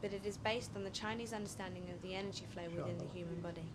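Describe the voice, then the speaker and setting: A woman reading scripted documentary narration in a measured, clear and informative way. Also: mid-range voice, fairly even intonation, heavy background noise. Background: loud background music.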